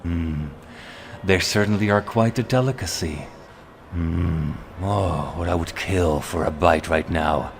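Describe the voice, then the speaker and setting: A man's voice: low voice, slow, vocal fry, slight background noise, heavily acted. A man playing a refined, haughty vampire henchman in a fantasy audio drama, with a formal, wry, drawling delivery. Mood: hungry, haughty.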